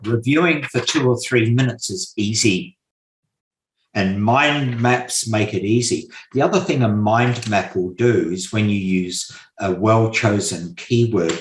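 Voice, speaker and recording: A man talks through his ideas in an unhurried, careful way, pausing on fillers and repeating the key point for emphasis. He is recorded in a room with a noticeable echo. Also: lowish voice. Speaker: guest expert on memory and mind maps. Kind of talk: explanatory interview talk. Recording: roomy echo.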